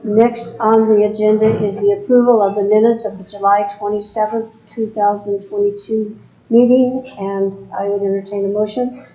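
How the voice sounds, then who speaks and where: Brief: female mayor running a board meeting, mellow and flat, echoey room, dull lo-fi recording. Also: medium pitch, normal speed. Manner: steady, procedural, reads agenda items. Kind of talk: formal meeting procedure.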